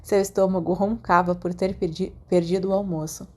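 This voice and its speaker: A woman's voice: fairly low pitch, steady tone, fairly quick, warm tone. A woman with a Brazilian accent reading a story aloud as a scripted audiobook narration. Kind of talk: scripted fan fiction narration with acted dialogue.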